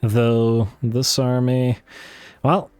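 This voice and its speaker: A man thinking aloud over a strategy game, a little hoarse from losing his voice, with frequent fillers and restarts. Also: mid-range voice, level tone, extremely slow, a little mellow, no room sound, soft background music. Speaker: let's play host. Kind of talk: casual gameplay commentary.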